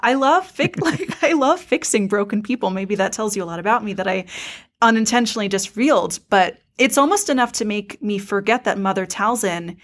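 A woman hosting a podcast, chatting casually about fandom in a playful, enthusiastic way. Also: medium pitch. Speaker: podcast host. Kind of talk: casual fan discussion.

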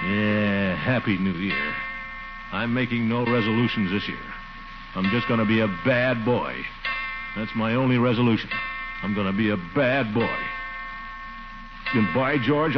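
An actor playing a lonely, self-pitying middle-aged uncle, muttering and grumbling to himself in a 1940s radio comedy style. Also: male voice, fairly low pitch, close to an everyday voice. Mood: defiant. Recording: muffled old radio recording with music.

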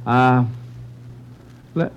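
A man's voice: very slow, lo-fi sound. An American man hosting a 1940s radio quiz, calling on child contestants by name with folksy asides. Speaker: quiz show host. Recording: vintage 1940s radio broadcast.

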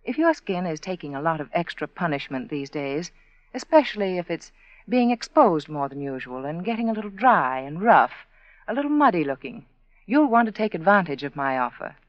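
A woman with a lowish voice delivers an earnest, intimate sales pitch for a 1940s radio sponsor. The sound is dry and close, dulled like an old broadcast. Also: big pitch swings, fairly quick, no echo, highs cut off. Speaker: sponsor spokeswoman.

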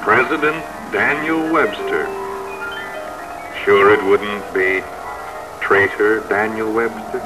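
A man's voice: fairly low pitch, takes it slowly, pauses often, loud music behind the voice, rough recording. An actor playing the spirit of his country as a folksy, probing voice with an American accent, in old-time radio drama style. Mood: sly, challenging. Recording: vintage radio broadcast recording.

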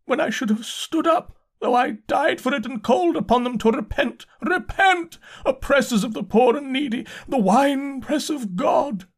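A man voicing an insane curate in an audiobook, crying out religious doom in drawn-out, declamatory wails. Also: very high voice, voice pushed up. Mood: anguished repentance. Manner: wailing, declamatory, drawn-out preaching cries. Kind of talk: dramatised audiobook character.

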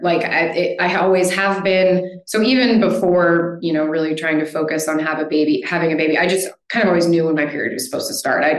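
An American woman in her thirties talks casually as a podcast guest. She keeps checking in with "right?" as she goes. Her voice sits low, and it carries a roomy echo. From United States. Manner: chatty, often tags sentences with "right?". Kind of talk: casual conversation.

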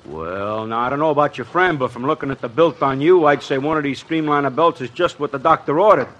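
A man's voice: medium pitch, fast talker, a bit bright, close to an everyday voice. An actor playing a slangy, coaxing American department-store salesman in a 1950s radio comedy, punctuating the patter with uhs. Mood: coaxing, confidential.

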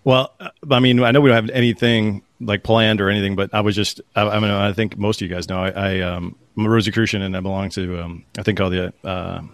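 Man, low voice, laid-back, rambling, slangy, dry sound. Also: fairly even intonation, quick pace, few pauses, no room sound. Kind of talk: casual chat.

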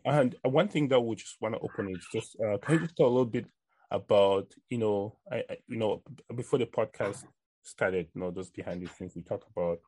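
Very deep-voiced podcast host. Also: male voice, a little fast, a little mellow. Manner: rambling, hesitant questions. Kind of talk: casual interview.

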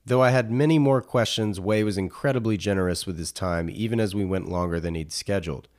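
A man's voice: on the low side, brisk. A man hosting a podcast, speaking as a presenter and interviewer. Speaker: podcast host. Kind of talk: scripted narration and interview.

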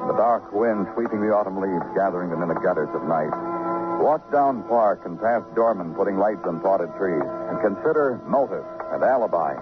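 An actor playing a terse, brooding, hard-boiled city police detective who also narrates, in an old radio noir drama. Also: male voice, low voice, animated, normal speed. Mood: brooding, dramatic. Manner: terse questioning, moody poetic narration. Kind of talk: mid-century radio noir acting. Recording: muffled old radio recording with music.

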